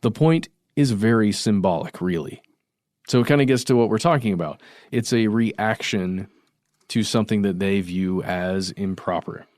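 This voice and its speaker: A man co-hosting a podcast, talking in a relaxed, chatty way with frequent fillers and restarts. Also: fairly low pitch, moderate pace, no room sound, studio quality. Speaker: podcast co-host. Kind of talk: casual conversational discussion.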